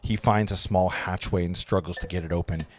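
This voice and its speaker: A man's voice: deep voice, fairly even intonation, fairly quick, a little hiss or hum. A man reading stage directions as narrator at a script table read, stumbling over words now and then. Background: clear guitar music.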